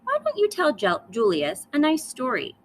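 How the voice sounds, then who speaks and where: A woman voicing a doting, gently chiding mother mouse in a children's storybook, coaxing in a singsong way. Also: high-pitched, wildly swooping pitch, normal speed, a little hiss or hum, pitched above the performer's own voice. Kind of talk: children's storybook read-aloud.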